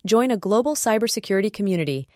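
A woman's voice: mid-range voice, expressive, fast talker, dark tone, no room sound, clean studio sound. A woman delivering a scripted promotional voice-over as an advertisement announcer.

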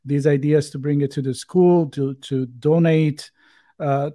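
A man co-hosting a conversational interview in a halting, thinking-aloud way. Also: a little high, steady tone, mellow, dry recording, clean studio sound. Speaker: interview co-host.

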